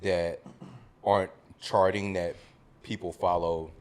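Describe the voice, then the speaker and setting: A man's voice: low-pitched, little pitch movement, slow, darkish tone. A man speaking as a podcast panelist in casual discussion, with informal, slangy phrasing.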